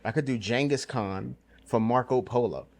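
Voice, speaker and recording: A man's voice: mid-range voice, moderate pace, darkish tone. A young man hosting a podcast, talking in a laid-back, slangy way and laughing often. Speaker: podcast host. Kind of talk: casual chat.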